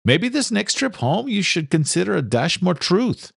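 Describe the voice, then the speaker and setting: A man's voice: high voice, sing-song, moderate pace, gravelly, husky, no room sound, studio quality, voice pushed up, full character voice. A man voicing a polite, good-natured young adult groundskeeper son in a storybook reading. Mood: earnest.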